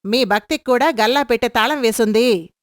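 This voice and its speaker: Lady doing a worried wife telling her husband off, a bit high, dry close sound. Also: a little fast, dry recording, natural voice. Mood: firm. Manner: pleading, scolding. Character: worried, sharp-tongued wife.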